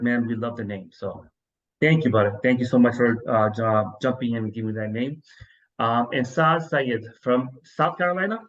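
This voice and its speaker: A man hosts a casual podcast chat. He rambles and often restarts his sentences mid-thought, and his voice comes through slightly dull and muffled. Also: mid-range voice. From New York, US. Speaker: podcast host.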